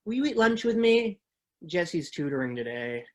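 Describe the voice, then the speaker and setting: A woman's voice: lowish voice, very animated, moderate pace, darkish tone. A woman actor giving a dramatic reading from a book.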